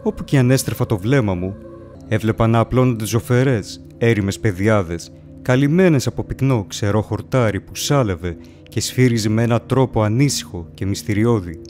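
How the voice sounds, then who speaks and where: A man playing a haunted, bewildered wanderer who narrates a gothic horror tale in a solemn, measured, dramatic way. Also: lowish voice, darkish tone, faint music behind, close to an everyday voice. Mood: uneasy, astonished. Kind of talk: dramatic first-person audiobook narration.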